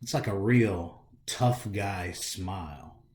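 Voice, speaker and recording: A man's voice: low voice, takes it slowly, a little mellow. An American man hosting a storytime, reading a picture book aloud in a theatrical way and talking directly to young listeners. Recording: roomy, echoey indoor sound.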